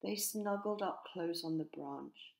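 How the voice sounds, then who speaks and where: A woman narrating a children's storybook expressively, in measured phrases that sometimes drop to hushed tones. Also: fairly low pitch, vocal fry. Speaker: author narrating her own story.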